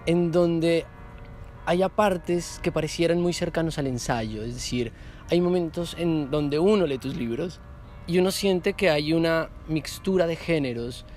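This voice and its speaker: A man's voice: a little high, measured pace, darkish tone, soft background music. A man interviewing someone about literature, putting long, reflective questions and rephrasing as he goes.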